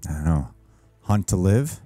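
Playful man in his forties, animated reaction host on headset, game score in the room. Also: deep voice, normal speed. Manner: playful, laughs often. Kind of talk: casual reaction chat. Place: home studio indoors. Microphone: headset mic. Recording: game music playing behind.

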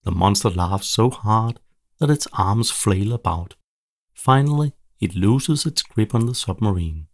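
A man narrating a children's bedtime story in a measured, animated storytelling delivery. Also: on the low side, no echo, studio quality.

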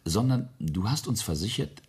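Menacing male industrialist, radio play, mocking, deliberate, gravelly fry, animated intonation. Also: fairly low pitch, exaggerated voice. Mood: pressing. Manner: sardonic, deliberate, with whispered drops. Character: menacing, mocking family patriarch and ambitious industrialist. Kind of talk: German radio play acting.

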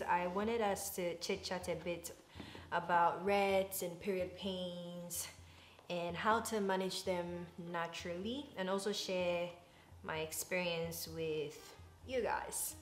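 A woman's voice: fairly low pitch, slow pace. A woman in her twenties from Ghana chatting casually to her viewers as a vlogger, in an affectionate, talkative manner. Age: 20s. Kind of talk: casual chat to camera. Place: rooms at home, indoors. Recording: echoey rooms at home, music bed.

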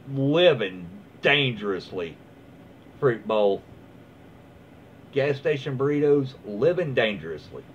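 A dull, noisy home recording of a man reading online stories aloud. His pitch moves around a lot as he slips between reading and easygoing, rambling commentary. He sounds folksy and casual, like a host chatting from his own room. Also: a little mellow. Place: small room at home, indoors. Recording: dull lo-fi sound with clear background noise.

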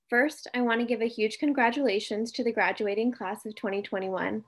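Young female grad speaker, steady read delivery, slightly high pitch. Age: young adult. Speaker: graduating student speaker. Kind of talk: prepared commencement speech.

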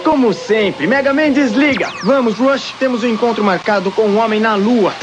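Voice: mid-range voice, moderate pace, loud music behind the voice, lightly acted. A performer voicing a brave, earnest young boy robot hero in a dubbed cartoon.

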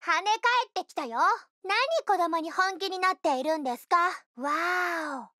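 Super high bright little kid magician, cheeky n showy, cute game character hyping up a stage show. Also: female voice, moderate pace, dry recording, clean studio sound. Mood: indignant, cheeky. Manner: theatrical, playful, boastful showman patter. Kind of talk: Japanese video game character voice lines.

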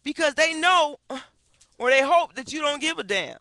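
A high-pitched, sharply bright woman delivers indignant, emphatic commentary, hammering her points with rhetorical questions. She is middle-aged and speaks into a headset mic in a small wood-paneled room. The sound is dry and close. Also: lively intonation, normal speed, no echo. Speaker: activist vlogger. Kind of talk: impassioned webcam commentary. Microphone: headset on webcam.

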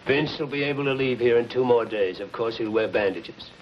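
A man's voice: medium pitch, brisk, natural voice. Guy doing tired bitter middle aged doc, brooding, old muffled 50s crime show w some hiss. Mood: weary. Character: bitter, worn-out disgraced male surgeon. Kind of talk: 1950s television crime drama acting. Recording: muffled, hissy 1950s TV soundtrack.

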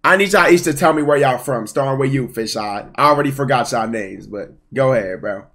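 A man's voice: mid-range voice, a little fast. Young male streamer host, rowdy and teasing, headset mic in a home room. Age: young adult. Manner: loud, joking, teasing, uses slang. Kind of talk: casual game show hosting on stream.

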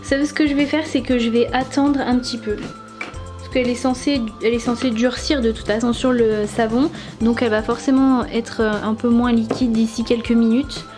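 A young woman with a somewhat high voice narrates a toy demonstration step by step. She speaks casually and unhurriedly, with small fillers as she thinks. Clear music plays underneath the voice-over. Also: moderate pace. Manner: step-by-step explaining, relaxed with fillers. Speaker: toy review YouTuber.

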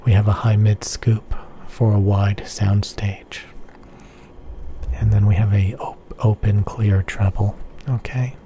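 A man talks through headphone measurements in an ASMR-like manner. He repeats his words and often sinks to a breathy whisper. His pitch sits low and his tone is very dark. Faint noise is heard behind him. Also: little pitch movement, slow. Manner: repeats phrases softly, often in unvoiced whispers. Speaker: headphone reviewer. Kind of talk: slow whispery ASMR-style tech explanation.

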